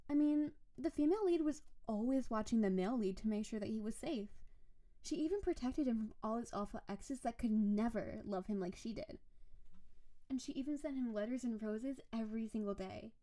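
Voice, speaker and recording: A woman playing a doting, possessive snow-spirit wife in an intimate roleplay audio, speaking to her beloved in a sweet, teasing, clingy way with soft menace. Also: a little high, fairly quick, lightly acted. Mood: dreamy, obsessive. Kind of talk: intimate scripted roleplay audio addressed to the listener.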